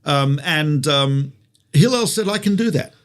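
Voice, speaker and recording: A man's voice: a little high, lively intonation, unhurried. A man with an Australian accent, a rabbi teaching conversationally through stories and rhetorical questions. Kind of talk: conversational religious teaching with storytelling.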